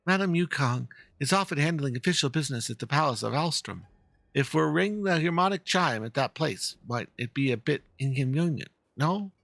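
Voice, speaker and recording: A man's voice: fairly high pitch, expressive, brisk, vocal fry, fairly bright tone, dry recording. A man voicing a timid, formal young female judge who hunts ghosts, reading video game dialogue hesitantly and politely.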